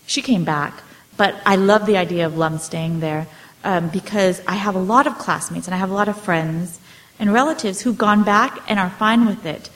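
Woman author, forties, slightly low voice, halting, many ums and restarts. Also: low-quality recording. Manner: hesitant, many fillers and restarts. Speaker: author answering audience questions. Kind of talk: casual storytelling talk.

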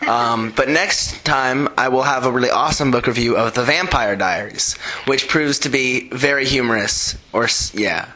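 Bright-voiced teenage boy, casual. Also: mid-range voice, normal speed, few pauses, low-quality recording. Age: teen. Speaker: teen podcast contributor. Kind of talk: casual unscripted shout-out.

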